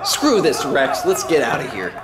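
An actor playing a nervous, naive, whiny young blogger in a comic audio drama. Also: male voice, mid-range voice, moderate pace, a loud crowd, close to an everyday voice. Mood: anxious. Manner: excitable, whiny. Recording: roomy echo, faint background noise.

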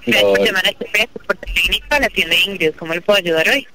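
A woman's voice: mid-range voice, fast talker, gravelly, bright, forceful tone. A woman speaking politely as a customer service agent over a telephone line. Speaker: tech support call agent.

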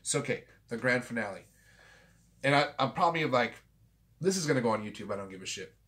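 A man's voice: mid-range voice, lively intonation, quick pace, fairly bright tone. Chatty tipsy man rambling at his desk. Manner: loose and chatty, swears freely, tipsy. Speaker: vinyl collector channel host. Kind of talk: casual rambling vlog. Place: small home room with framed pictures and a guitar.

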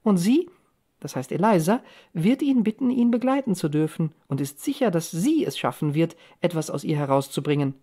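An excited young woman played by a female audiobook reader shares village gossip. She is chatty and emphatic, her pitch lifted and bursting with eagerness to tell. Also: moderate pace. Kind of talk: audiobook character dialogue.

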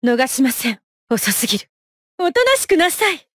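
A young female knight from a fighting game, with a high voice that swings widely in pitch. She is haughty and coolly ruthless, polite in wording but imperious in delivery. The recording is dry and close, with clipped battle lines. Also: big pitch swings, normal speed, fairly bright tone, no room sound. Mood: scornful.

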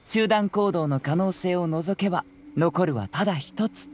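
A man voicing a youthful, confident detective in an anime game, speaking in a measured, analytical, explanatory way. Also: sits high, a little mellow, slight background noise. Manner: measured, explanatory, self-assured. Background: faint background music.

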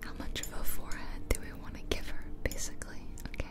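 A woman doing ASMR game commentary in a whisper, casually musing aloud close to the microphone. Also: fast. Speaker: ASMR video creator. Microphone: close microphone, ear-to-ear ASMR.

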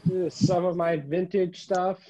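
A middle-aged man giving a casual show-and-tell tour as a collector, listing items haltingly, recorded indoors on a handheld phone. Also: high voice, level tone, warm tone, slight background noise. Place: room at home, indoors. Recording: dull, muffled phone audio.

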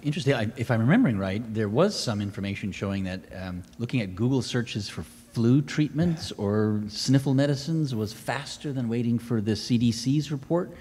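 Husky-voiced man in a hall. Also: mid-range voice, lively intonation, normal speed, hardly any pauses, a little mellow. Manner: curious, conversational. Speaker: panelist. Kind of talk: panel discussion. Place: large auditorium. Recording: reverberant hall.

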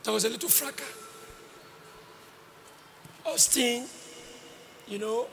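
Nigerian preacher guy, high gravelly voice, calls out prophecies and questions, bit of noise around him. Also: slow, a bit bright. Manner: declarative, questioning, repeats himself. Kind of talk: prophetic ministering to individuals in a congregation. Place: large open-sided arena with a congregation. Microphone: handheld microphone.

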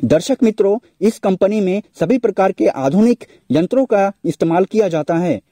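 High dark-toned man in a dry voice-over. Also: no echo. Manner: repeatedly addresses viewers directly. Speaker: job information channel host. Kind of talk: voice-over explainer.

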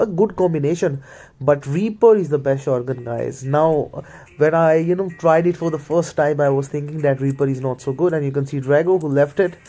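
Male gamer, Indian accent, Hindi and Punjabi native. Relaxed, dark-toned, slightly high voice, casual running commentary. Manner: mutters play-by-play, slips into Hindi. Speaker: gaming video creator.

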